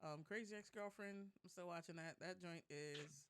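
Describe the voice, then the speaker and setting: A woman chatting casually as a podcast co-host, retelling things in slangy, profane, animated language. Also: on the low side, normal speed, slight background noise. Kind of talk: casual banter about TV and anime.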